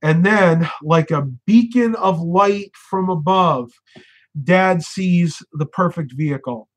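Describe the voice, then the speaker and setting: A man's voice: sits high, takes it slowly. A young American man chatting casually as the host of a livestream. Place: small furnished bedroom, indoors.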